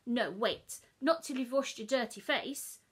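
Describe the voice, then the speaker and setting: A woman's voice: fairly high pitch, measured pace, husky. A woman voicing a stern mother who questions her son sharply and suspiciously. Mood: accusing.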